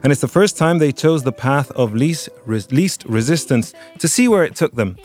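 A man's voice: medium pitch, animated, normal speed, darkish tone. A man presenting a radio music show, reading scripted links with wry, dry asides. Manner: wry, dryly joking asides.

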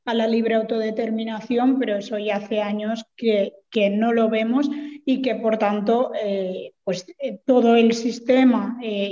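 A woman giving explanatory answers as a political interview guest, speaking in a measured, hesitant way with formal wording. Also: on the high side, mellow. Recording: reverberant hall, muffled sound.

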